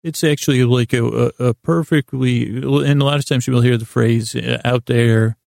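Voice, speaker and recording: Creaky-voiced man, lulling flat monologue. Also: medium pitch, dry recording, studio quality. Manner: lulling, soothing, calm; hesitant, halting, circles back and repeats himself. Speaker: host of a sleep podcast. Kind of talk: meandering, rambling bedtime monologue.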